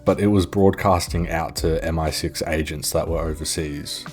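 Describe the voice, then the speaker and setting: A man's voice: very deep voice, fairly even intonation, normal speed. A young man narrating a documentary-style video in a casual, informal, sweary and self-deprecating way. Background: background music.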